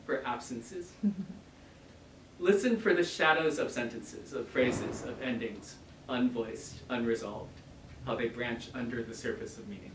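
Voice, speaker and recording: A man introducing poets at a live reading, delivering prepared lyrical remarks in measured, pausing phrases. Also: mid-range voice, a bit bright. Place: bookshop reading event. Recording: echoey room, distant mic, faint noise.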